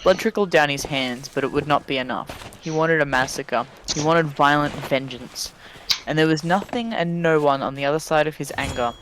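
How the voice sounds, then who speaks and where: A man with a somewhat high voice reads fiction dramatically over a noisy voice chat. His delivery slips easily between storytelling and loose, sweary banter. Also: normal speed, fairly bright tone. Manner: sweary, breaks off into asides. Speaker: reader of a fan fiction story. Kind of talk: dramatic reading broken by casual banter with friends. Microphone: voice chat microphone.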